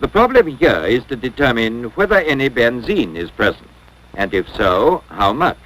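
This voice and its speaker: A man narrating a 1950s educational film from a script in a measured, formal, instructional way. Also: mid-range voice, sing-song, a bit bright, rough recording.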